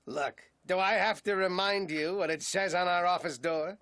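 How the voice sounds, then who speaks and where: An extremely high, bright-edged cartoon duck detective. He gripes and whines like a belly-aching father ready to explode. The recording carries faint background noise. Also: moderate pace, no echo, clean studio sound. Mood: indignant. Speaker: private detective. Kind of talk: animated comedy acting.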